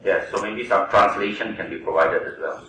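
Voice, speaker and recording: Man, low pitch, bright edge, courteous and hesitant counsel, very echoey hall, distant mic. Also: a little hiss or hum. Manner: polite, halting and deferential. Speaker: counsel at a tribunal. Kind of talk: formal courtroom address. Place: large courtroom.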